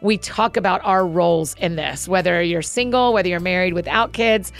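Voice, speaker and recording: A woman's voice: lowish voice, moderate pace, gravelly. A woman hosting a podcast, bubbly and chatty as she introduces and talks with a guest. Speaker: podcast host. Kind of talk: friendly podcast interview.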